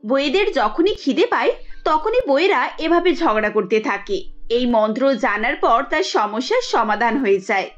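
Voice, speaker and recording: High-voiced woman narrating a story. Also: dull audio. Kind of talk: scripted cartoon story narration. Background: music.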